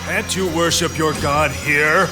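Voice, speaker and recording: An actor playing an arrogant, mocking, stubborn Egyptian Pharaoh in a dramatized children's Bible story. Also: male voice, a little high, fairly even intonation, unhurried, natural voice. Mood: grudging. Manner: haughty, scoffing. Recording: loud music behind the voice. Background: music.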